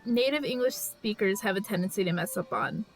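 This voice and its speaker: A woman's voice: mid-range voice, expressive, fairly quick, faint music behind, rough recording. A woman from California chatting casually with viewers while drawing on a live stream, rambling with lots of fillers and restarts. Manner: rambling and chatty, with restarts and frequent "like" and "you know".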